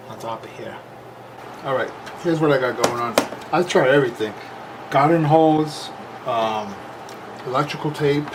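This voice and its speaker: A man giving a casual, unscripted hands-on repair demonstration, plain-spoken and thinking aloud as he works. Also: mid-range voice. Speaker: repair video host. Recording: noisy camera recording with loud background noise.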